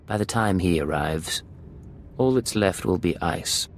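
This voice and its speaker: A man's voice: animated, unhurried, a little hiss or hum. An actor playing a cold, focused male Imperial agent in a video game, clipped and businesslike. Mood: dry, cold. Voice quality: low, gravelly.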